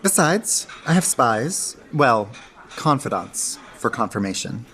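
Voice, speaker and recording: A man playing an earnest, romantic young gentleman in love, in a radio verse drama. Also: mid-range voice, big pitch swings, slow pace, breathy edge, exaggerated voice. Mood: earnest, anxious. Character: lovestruck earnest young gentleman confiding in a friend. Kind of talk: radio theatre acting in verse.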